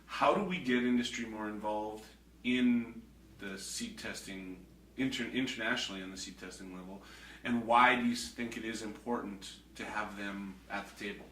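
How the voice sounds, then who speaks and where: Bright-voiced male interviewer, echoey. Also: on the low side, normal speed. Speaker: interviewer, host. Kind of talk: interview questions. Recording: echoey room, distant mic.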